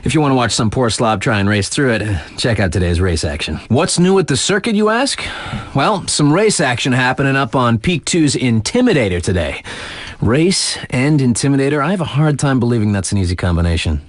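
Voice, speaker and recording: A man acts out a cool, laid-back radio DJ for a snowboarding game. His mid-pitched voice moves animatedly through scripted circuit updates, dropping into casual, wry asides. The sound is dry, with no room around it. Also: lively intonation, fairly quick, no room sound, close to an everyday voice. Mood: wry, amused. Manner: casual, slangy, chuckling asides. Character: laid-back hip young male radio DJ hyping snowboard races. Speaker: radio DJ announcer. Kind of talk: scripted sports news radio patter.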